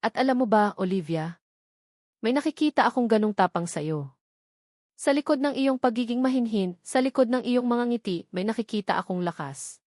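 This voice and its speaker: A woman voicing a charming, confident male boss who speaks in a measured, earnest and intimate way. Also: on the high side, lively intonation, a little mellow, no room sound, clean studio sound, close to an everyday voice.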